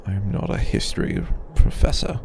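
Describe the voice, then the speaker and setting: A man voicing an ancient, proud, weary male dragon in a possessive roleplay ASMR monologue, deep and gravelly, musing haltingly as he broods. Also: background noise, natural voice. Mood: reminiscing, scornful.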